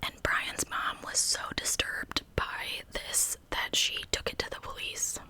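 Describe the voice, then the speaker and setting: A woman's voice: measured pace, pushed and bright, poor audio. Whispering woman, ASMR storyteller. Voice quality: breathy unvoiced whisper. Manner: conversational narration. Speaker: true crime ASMR host.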